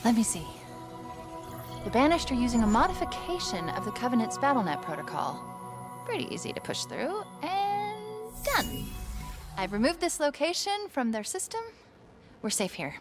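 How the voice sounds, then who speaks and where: A woman voicing a bright, curious young artificial intelligence in a video game cutscene, eager and quick-witted. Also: a little high, big pitch swings, over music. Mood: confident.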